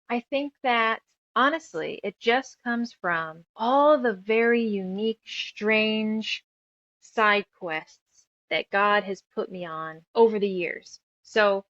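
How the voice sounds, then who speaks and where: A woman's voice: medium pitch, fairly bright tone, dry recording, dull audio. A woman in her thirties telling her story casually as an interview guest, rambling and emphatic, piling up lists as she goes. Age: 30s. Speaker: guest author and musician.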